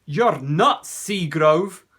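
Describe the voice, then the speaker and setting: A man doing a gruff, blunt school bully. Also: on the high side, lively intonation, extremely slow, fairly bright tone, heavily acted.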